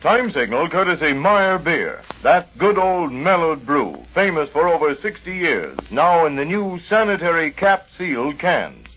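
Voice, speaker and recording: Male 1930s station announcer and pitchman: animated intonation, slightly high voice, dull vintage radio recording. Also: unhurried, few pauses, slightly rough.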